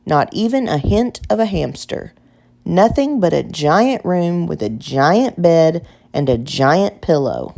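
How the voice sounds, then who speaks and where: A woman reading a children's picture book aloud as expressive, scripted narration. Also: fairly low pitch, slow, creaky. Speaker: storybook reader.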